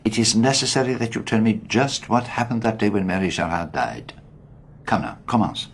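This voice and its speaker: Man playing shrewd foreign detective in a radio play, low voice, formal and precise, bit of background noise. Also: natural voice. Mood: insistent. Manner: formal, deliberate, precise phrasing. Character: shrewd, calm male foreign detective, probing and self-assured. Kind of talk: radio drama acting.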